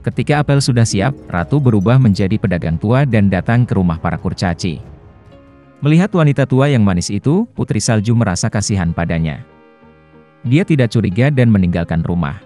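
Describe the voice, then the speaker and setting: A man's voice: on the low side, animated, brisk, mellow. A man reading scripted fairy-tale narration for children as a storyteller. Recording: music behind the voice. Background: background music.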